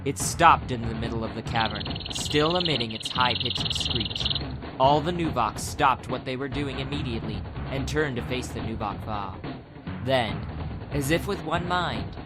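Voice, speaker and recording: A man narrating a scripted fantasy adventure audiobook in a dramatic storytelling style. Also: medium pitch. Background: music.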